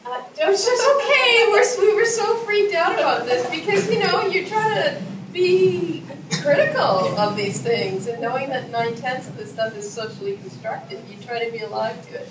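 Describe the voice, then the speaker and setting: A woman's voice: high voice, lively intonation, moderate pace, few pauses. A woman in her thirties, a workshop participant, talking about herself in a chatty, self-deprecating way with many fillers. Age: 30s. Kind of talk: casual personal sharing. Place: conference workshop room. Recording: echoey room, distant mic, noisy.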